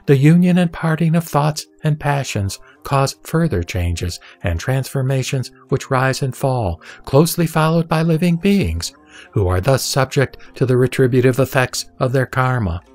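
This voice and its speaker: Man, solemn scripture narrator, mid-pitched voice, deliberate phrasing, soft music behind. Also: lively intonation. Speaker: sutra reciter and narrator. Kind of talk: scripted scripture recitation as audio drama. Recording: background music under the voice.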